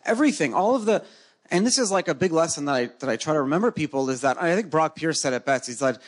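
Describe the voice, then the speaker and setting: A man whose first language is Hebrew, hosting a casual onstage interview at a conference with a handheld mic, talking in a joking, lively way. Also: a little high, fast. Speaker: podcast host. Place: conference stage before a live audience.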